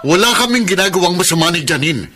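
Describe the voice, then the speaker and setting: A man's voice: high voice, animated, fast talker, bright, forceful tone, full character voice. A man acting an exasperated husband defending himself in a Filipino radio drama, indignant, with a Filipino accent. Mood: scolding. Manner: indignant, scolding. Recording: radio drama with music behind.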